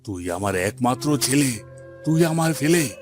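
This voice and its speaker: A mid-pitched, imperious male voice put on by an actor for a haughty village headman in a cartoon folk tale. He speaks sternly and with melodrama, and music plays behind him. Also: animated, measured pace, natural voice. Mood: relenting. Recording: background music under the voice.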